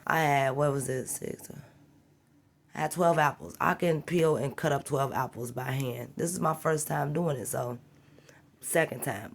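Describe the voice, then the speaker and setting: A woman with a slightly raspy voice from a cold, chatting casually and encouragingly as she walks through an easy home cooking task. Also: deep voice. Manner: chatty, rambling and encouraging, talks while working. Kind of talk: casual how-to cooking vlog.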